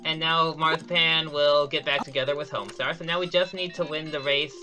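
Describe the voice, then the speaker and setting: A man's voice: fairly high pitch, steady tone, a little fast, over music, rough recording. A man giving live commentary on a speedrun, explaining each step and often correcting himself mid-sentence. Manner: explanatory, frequent self-corrections and restarts.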